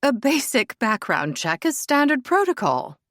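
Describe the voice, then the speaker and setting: A woman's voice: high voice, very animated, measured pace, a bit bright, no echo, studio quality, voice pushed up, full character voice. A woman voicing a haughty young royal princess, cold and clipped in her snobbery.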